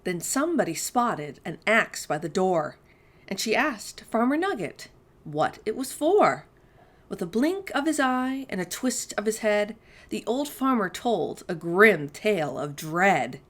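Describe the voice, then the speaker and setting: A woman's voice: medium pitch, big pitch swings, unhurried, a bit bright. A woman hosting a storytime for young children, reading aloud expressively and speaking directly to her listeners. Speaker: children's storytime host and reader.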